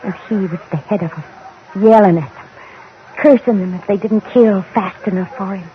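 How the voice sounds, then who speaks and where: An actress in an old-time radio drama playing a grieving, haunted widow in her thirties who speaks haltingly and emotionally. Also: mid-range voice, close to an everyday voice. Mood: anguished, haunted. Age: middle-aged. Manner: halting, emotional, repeating phrases. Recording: muffled old radio recording, faint noise.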